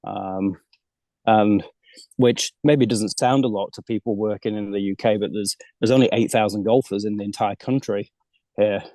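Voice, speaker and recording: A man from the UK talks about coaching kids as an interview guest. His voice sits fairly low. He speaks casually, often repeating words as he restarts, and the sound is dry with no room echo. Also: steady tone, moderate pace, dark tone. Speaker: golf coach abroad, guest.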